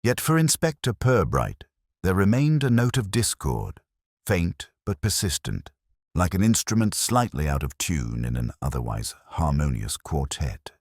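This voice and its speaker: A very low-pitched man narrates a mystery in a measured, deliberate way. His voice has a husky grain and moves expressively through the literary prose. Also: huge pitch swings, a little mellow, no room sound, clean studio sound. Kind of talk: scripted audiobook narration of a mystery, acting out dialogue.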